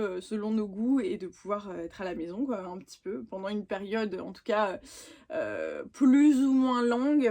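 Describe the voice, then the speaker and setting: A woman's voice: mid-range voice, a little mellow. Young woman chatting casually. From France. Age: young adult. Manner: informal, slangy. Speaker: vlogger. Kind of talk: casual chatty makeup vlog.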